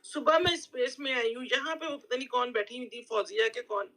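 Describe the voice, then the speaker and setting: A woman's voice: fairly high pitch, fairly quick, a bit bright. A woman with a Pakistani accent in a live group discussion, insistently airing her complaint in casual, repetitive speech. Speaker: political discussion participant.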